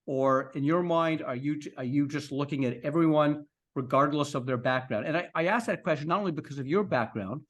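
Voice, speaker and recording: A fairly high-pitched man leads a podcast interview with eager, chatty questions. He trips over his words and restarts his sentences as he goes. The tone is friendly and talkative. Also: brisk, hardly any pauses. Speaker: podcast interviewer, league co-founder.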